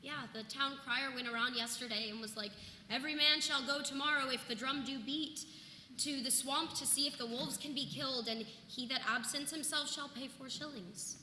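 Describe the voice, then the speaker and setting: A woman's voice: high-pitched, moderate pace, pushed and bright. A woman acting a weary, exasperated young colonial mother in a staged play reading, frank and modern in her speech. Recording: echoey hall, noisy background.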